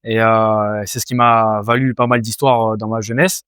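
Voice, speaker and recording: A man's voice: fairly low pitch, flat delivery, moderate pace, a bit bright, clean tone, no echo, studio quality. A man in his twenties from France, a fighter answering interview questions casually, hesitant with many fillers and restarts. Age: 20s. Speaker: Muay Thai fighter, guest.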